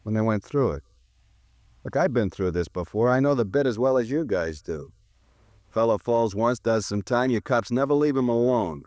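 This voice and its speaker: A man's voice: medium pitch, lively intonation, moderate pace, a little mellow, no echo, close to an everyday voice. An actor playing a cocky, sarcastic ex-con murder suspect in a 1950s radio crime drama, talking in defiant wise-guy slang. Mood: sarcastic, guarded.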